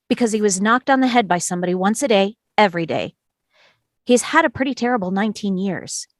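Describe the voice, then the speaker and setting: Dissenting juror, female actor: earnest, thoughtful, measured, medium pitch, very dry recording. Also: no room sound, close to an everyday voice. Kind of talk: dramatic table read of a stage drama.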